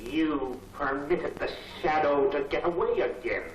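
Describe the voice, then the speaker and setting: An old 1940s serial soundtrack, roomy and distant, carries a man acting as an imperious villain. He speaks very slowly and theatrically, handing out cold, menacing commands like the boss of a criminal gang. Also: a little high, background noise, natural voice. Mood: stern, commanding. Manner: commanding, deliberate, theatrical delivery.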